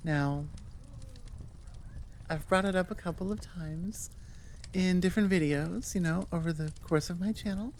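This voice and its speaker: A woman's voice: on the low side, unhurried, long pauses, darkish tone, slight background noise. A woman voice actor and channel host chatting casually and off the cuff to her listeners, rambling and enthusiastic. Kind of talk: casual unscripted chat to listeners.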